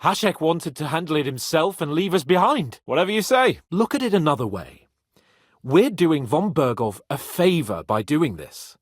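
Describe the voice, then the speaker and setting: A brash young nobleman with a British accent. His delivery is haughty yet comradely, swinging widely in pitch with theatrical, teasing flair. He sits fairly high for a man, in a dry recording with no room sound. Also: big pitch swings, moderate pace, no room sound. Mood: confident, eager. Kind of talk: medieval video game voice acting.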